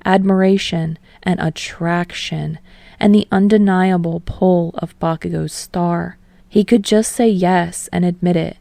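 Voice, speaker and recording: Woman, story narration, low pitch, vocal fry, dry close sound, audiobook reader. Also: warm tone, dry recording. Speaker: audiobook reader of fan fiction.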